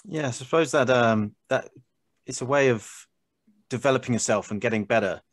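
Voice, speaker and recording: A man's voice: mid-range voice, normal speed, no room sound. A man hosting an interview podcast in a conversational style.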